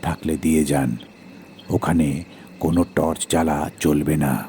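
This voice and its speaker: Cold, low-voiced menacing village man, acted by a man. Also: fairly even intonation, a little slow, mellow, slight background noise. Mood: stern. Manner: cold, curt, warning tone. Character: menacing heavyset village man guarding a holy man's house. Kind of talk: horror audio story dialogue.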